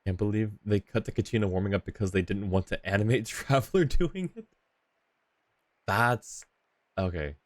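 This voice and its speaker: Deep-voiced guy streaming a game, casual and mumbly to himself, pitch swings around a lot. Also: expressive, moderate pace, no echo, studio quality. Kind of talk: casual live gameplay commentary.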